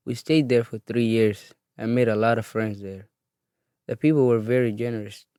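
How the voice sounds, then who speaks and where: An eighteen-year-old man from Somalia reading his own life story in an earnest, steady way. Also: fairly low pitch, no echo, studio quality. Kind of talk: read personal narrative.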